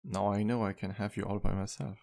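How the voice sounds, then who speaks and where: Male actor as flirty vampire bartender, low pitch, heavy vocal fry, sweet, teasing, courteous, intimate ASMR roleplay. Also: steady tone, a little mellow. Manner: smooth-talking, courteous, playful, unhurried pauses. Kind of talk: ASMR roleplay, intimate one-sided dialogue.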